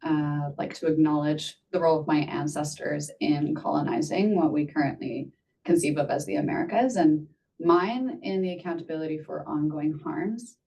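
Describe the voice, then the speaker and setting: Low-voiced woman presenting from afar. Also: gravelly, dark tone, recorded from a distance, a little hiss or hum. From Spain. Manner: earnest, measured, with frequent fillers. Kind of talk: webinar presentation.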